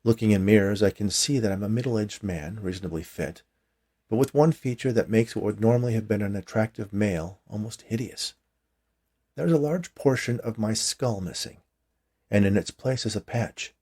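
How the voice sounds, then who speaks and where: A man's voice: on the low side, normal speed, darkish tone, slightly rough. A man reading his own short story aloud as a scripted first-person narration.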